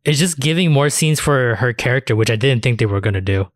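A man hosting a podcast in a loose, chatty style. His voice is mid-pitched and recorded dry, with almost no room. He rambles along with enthusiasm and swears freely. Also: animated, fast, no echo. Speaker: podcast host. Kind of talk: casual anime discussion chat.

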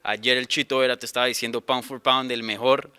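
A man hosting a casual interview, upbeat and full of quick asides. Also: medium pitch, fairly even intonation, sharp tone, clean tone.

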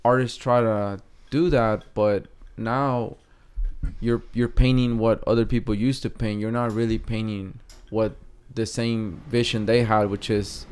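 A man's voice: lowish voice, steady tone, measured pace, a little mellow, smooth. A man in his thirties from Mexico with a Mexican accent, a guest artist talking hesitantly in a casual interview, with many fillers and restarts.

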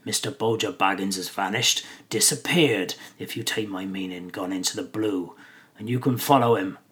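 Man doing a gruff rustic hobbit farmer. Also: lowish voice, normal speed, fairly bright tone, lightly acted. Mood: grumbling storytelling. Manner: rustic, folksy dialect speech.

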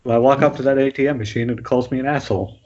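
A man's voice: mid-range voice, fairly even intonation, racing, darkish tone, natural voice. A man playing a nervous, self-important writer directing his first film, chummy and casual. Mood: businesslike. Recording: muffled, noisy internet call.

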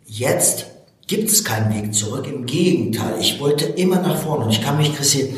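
A man's voice: medium pitch, expressive, moderate pace, a little hiss or hum. Middle-aged man, very echoey distant recording. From Baku, Azerbaijan. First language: Russian. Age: 40s. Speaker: interview guest, personal development speaker and coach. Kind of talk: motivational personal storytelling in an interview. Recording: very echoey room, distant from mic.

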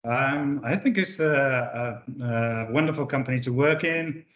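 A man's voice: mid-range voice, a little slow, fairly bright tone, reverberant, lo-fi sound. A man from the UK, a managing director answering interview questions with many fillers and restarts. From United Kingdom. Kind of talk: corporate podcast interview.

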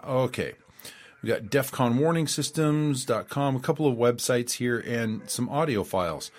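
A man's voice: mid-range voice, animated, normal speed. A man hosting a radio show, talking casually and rambling, reading out quotes with frequent fillers and restarts. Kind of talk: casual spoken commentary.

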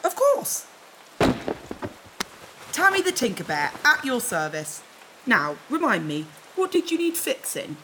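A woman voicing a shady, matter-of-fact alien bear-like repair trader in a sci-fi audio drama, deadpan and clipped. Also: high voice, unhurried, long pauses, a little hiss or hum, exaggerated voice, low-quality recording. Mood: brisk, welcoming.

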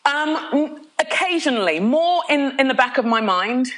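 Bright-voiced, energetic woman guest. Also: high-pitched, normal speed. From London, of Nigerian heritage. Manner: energetic, laughs often, restarts sentences. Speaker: podcast guest, pastor. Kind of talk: candid conversational interview.

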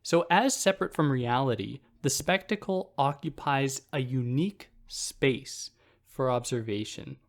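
A man hosting a philosophy podcast, explaining a text in a relaxed, conversational way and thinking aloud with fillers and restarts. He speaks in a soothing voice. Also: mid-range voice. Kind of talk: casual explanatory lecture.

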